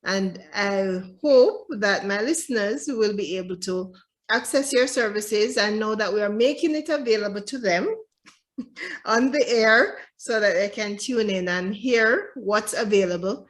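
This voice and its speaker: A woman's voice: medium pitch, unhurried. A woman hosting an interview over a video call, affirming her guests and chuckling lightly between remarks. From immigrant to Canada since 2013. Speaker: podcast host.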